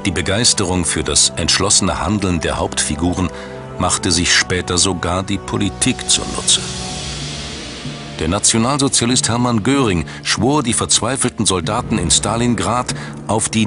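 A man narrating a scripted documentary in a measured, dramatic storytelling manner. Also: sits low, lively intonation. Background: background music.

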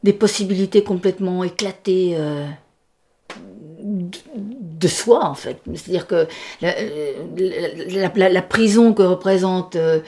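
A woman's voice: fairly low pitch, takes it slowly, a little mellow, slight background noise. A sixty-year-old woman speaking reflectively in an interview, searching for words and repeating them. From born in the United States, moved often. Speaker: puppeteer. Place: furnished workshop room, indoors.

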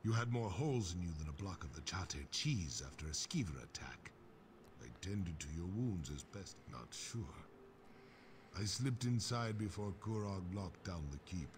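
A man voicing a gruff, blunt, battle-hardened orc warrior in a fantasy video game, with a gravelly voice. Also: sits low, expressive, normal speed, slight background noise. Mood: gruff concern. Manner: blunt, swearing, wry. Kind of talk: fantasy game character acting.

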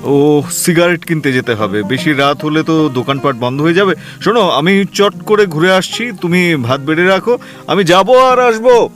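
An actor playing a young newlywed husband in an audio drama, gentle, dreamy and coaxing with his wife. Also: fairly high pitch, lively intonation, moderate pace, faint music behind. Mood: romantic.

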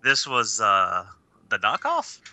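A man chatting casually as a podcast panelist, halting at times and freely profane. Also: fairly low pitch, wildly swooping pitch, bright, forceful tone, dry recording. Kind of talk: casual chat.

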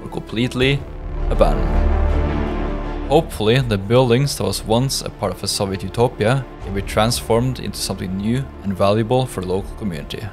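A young man reading a scripted history voice-over for his vlog in a measured, explanatory way. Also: fairly low pitch, over music. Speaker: vlog narrator.

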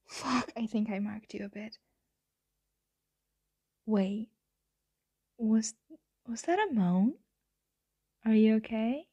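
Casting: woman to play teasing female roommate in vampire ASMR roleplay; very slow, husky, breathy half-whisper, intimate and flirtatious. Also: a little high, heavily acted. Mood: flustered, apologetic. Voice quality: soft, breathy, frequently whispering. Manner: slow, hesitant, teasing murmur.

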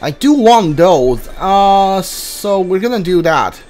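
A streamer talks through a video game in a casual, halting way, thinking aloud. The pace is slow and drawn out, at a medium pitch, with game music playing behind the voice. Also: sing-song, a little mellow. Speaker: gameplay streamer. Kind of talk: casual playthrough commentary. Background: video game music and sounds.

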